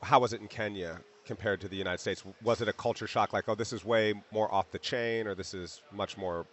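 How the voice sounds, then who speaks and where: Easygoing, low-voiced male interviewer. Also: steady tone, a little fast, a bit bright, a little hiss or hum. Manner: curious, easygoing, short affirmations. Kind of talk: casual interview conversation.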